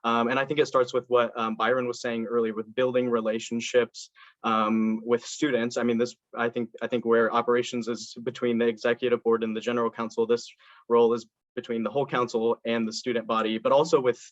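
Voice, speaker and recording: A man's voice: mid-range voice, level tone, brisk, no echo. A young man from Indiana speaking earnestly as a candidate in a student election, with many fillers and restarts. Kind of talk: campaign speech and answers to questions. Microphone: video call. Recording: dull lo-fi video call audio, dry.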